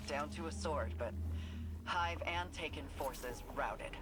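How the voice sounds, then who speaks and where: A woman's voice: low voice, takes it slowly, background noise. An actress playing a battle-hardened female soldier in a video game, intense and determined, with clipped delivery. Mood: focused. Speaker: frontline soldier. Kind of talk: dramatic game voice acting.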